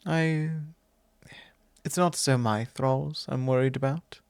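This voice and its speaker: A courteous vampire lord, played by a man, confides softly in an ASMR roleplay. His voice has a gravelly creak and moves very slowly, in formal, hesitant phrases. It is solemn and tender, very close and dry on the microphone. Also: medium pitch, steady tone, no room sound. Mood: worried, earnest. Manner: measured, formal, hesitant phrasing with soft breathy drops. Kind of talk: ASMR roleplay, soft intimate monologue.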